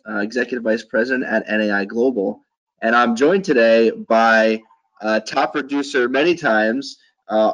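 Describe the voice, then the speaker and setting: A man's voice: medium pitch, a bit bright, low-quality recording. A man hosting a business podcast, giving an upbeat welcome and reading out his guest's background. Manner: upbeat, reads a guest bio aloud. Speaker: podcast host. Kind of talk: podcast introduction and interview.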